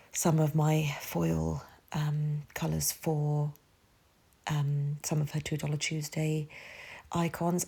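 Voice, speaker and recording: A woman's voice: sits low, creaky, darkish tone, slightly rough. A woman, speaking a rambling, chatty voiceover for a planner video, her nose stuffy from a cold. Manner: rambling, self-deprecating, lots of fillers. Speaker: planner vlogger. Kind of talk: casual voiceover narration.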